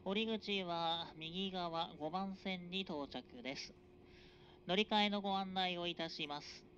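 A train conductor making formal, measured announcements over an express train's public address system. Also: male voice, high voice, a bit bright. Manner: formal, measured announcer cadence with drawn-out phrases. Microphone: train PA system recorded from a passenger seat. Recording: dull, noisy onboard PA recording.

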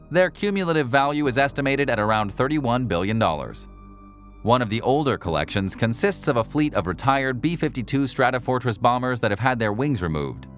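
A man with a fairly low voice narrates a documentary script at an even, measured pace. Faint music plays under the voice-over.

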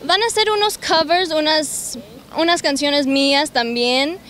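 Young woman from los angeles, high voice, bubbly, talking into a handheld mic outside in a park. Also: unhurried, fairly bright tone, a little hiss or hum. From Los Angeles, United States. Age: young adult. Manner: bubbly, eager, slips in English words. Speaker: singer. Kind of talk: interview answers. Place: outdoors in a city park. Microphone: handheld mic held by interviewer.

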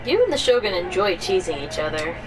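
A woman's voice: lowish voice, big pitch swings, brisk, low-quality recording. A woman giving casual commentary as she plays through a video game. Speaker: gamer playing through a story quest. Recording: clear noise, game audio behind.

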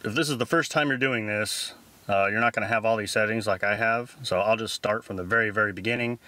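A man's voice: lowish voice, fast talker, bright, forceful tone, no echo. A man in his thirties giving an informal, step-by-step tech tutorial as the host. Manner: informal, instructional.

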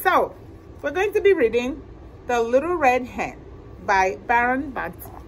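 A woman reads a children's story at an unhurried pace with expressive, deliberate phrasing. Her pitch sits high and swings widely as she addresses young listeners. A steady noise runs behind her. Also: rough recording. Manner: slow, deliberate, expressive, asking listeners questions. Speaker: library storytime host. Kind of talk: animated picture-book read-aloud to children. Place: indoor library room with bookshelves.

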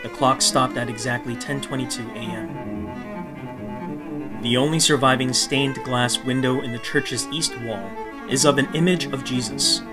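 A man reading scripted documentary narration in a measured, phrase-by-phrase manner. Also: medium pitch, fairly even intonation. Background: guitar music.

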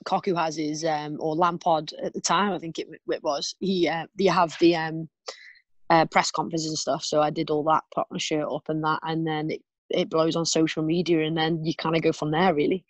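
A woman's voice: low voice, little pitch movement, normal speed. Woman, 20s, sports interviewee, informal and wandering delivery, dry sound with little room echo. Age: twenties. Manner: rambling, peppered with "you know" and "kind of". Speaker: interviewee, footballer. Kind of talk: casual chat. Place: remote video call.

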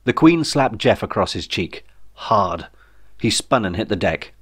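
A man's voice: lowish voice, very animated, a little slow, fairly bright tone, husky. A man reading a comic novel as scripted audiobook narration, in a wry storytelling manner. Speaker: author reading own novel.

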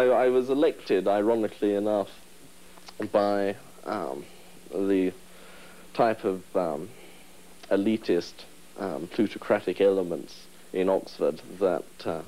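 Low, dark-voiced young man, wry. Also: rough recording. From England. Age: 20s. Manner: wry, hesitant with ums. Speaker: union president interviewee. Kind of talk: interview answers. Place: study room, indoors.

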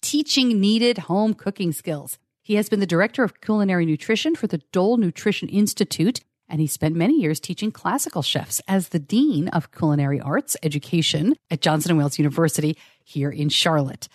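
A woman's voice: fairly quick, hardly any pauses, no echo. Upbeat woman podcast host, dry studio mic. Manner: upbeat, laughs often, animated pitch swings. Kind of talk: podcast ad read and interview.

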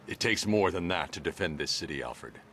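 An actor playing a grim, commanding armored vigilante in a video game, terse and measured with a gravelly voice. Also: male voice, animated, a bit bright, a little hiss or hum. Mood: stern, measured. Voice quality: very low gravelly voice. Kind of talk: video game cutscene acting.

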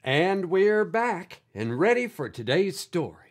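A man's voice: high voice, big pitch swings, unhurried. A man playing a folksy, eccentric podcast host in an audio drama, with a theatrical way of talking. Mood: upbeat, welcoming. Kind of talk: scripted audio drama hosting.

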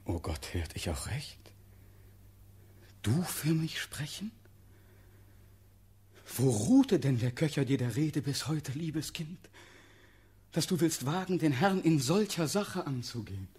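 An actor playing a dreamy young prince full of feeling, speaking classical verse in a radio drama with halting, reverent delivery. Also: male voice, a little high, sing-song, a little mellow, slightly rough, exaggerated voice. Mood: tender wonder.

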